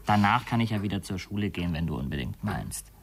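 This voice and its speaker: A man's voice: deep voice, lively intonation, quick pace, slight background noise. An actor playing a stubborn, disillusioned teenage boy in a radio drama, speaking with earnest determination. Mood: stubborn resolve. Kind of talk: German radio drama acting.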